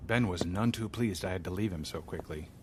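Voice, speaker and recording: An actor playing a restless former adventurer who talks in a reflective, wistful way in an audio drama. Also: male voice, low voice, brisk, slight background noise, close to an everyday voice.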